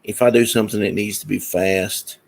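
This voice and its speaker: A man's voice: lowish voice, steady tone, fairly quick, vocal fry. A man talking casually to his viewers about his music and projects in a rambling, meandering way with many fillers. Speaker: musician and comic creator. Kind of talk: casual talk to camera.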